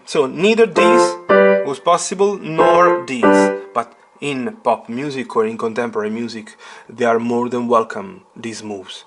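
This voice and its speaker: A man giving a step-by-step piano lesson, explaining deliberately and emphatically and putting questions to the learner. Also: medium pitch. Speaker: piano teacher. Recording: piano playing alongside the voice. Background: piano chords.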